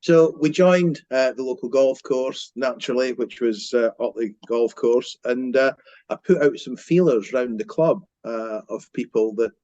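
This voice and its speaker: A man's voice: medium pitch, unhurried, dark tone, no room sound, highs cut off. A Scottish man in his fifties, a mortgage broker telling his career story in an interview, with frequent ums and restarts. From Scotland. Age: 50s. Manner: hesitant, many fillers and restarts. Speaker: mortgage broker, company director. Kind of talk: business interview, casual storytelling.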